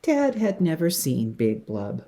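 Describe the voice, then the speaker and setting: Woman, children's read-aloud, slow pacing, very animated intonation, dramatic, hushed suspenseful moments. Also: low-pitched. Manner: dramatic, with hushed passages and emphatic words. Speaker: teacher reading a picture book to young children.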